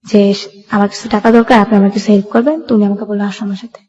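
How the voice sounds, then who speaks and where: A woman's voice: medium pitch, level tone, quick pace, mellow, husky, muffled recording. A young woman with a colloquial Bangladeshi Bengali accent, telling her life story in a plain, run-on way as a radio interview guest.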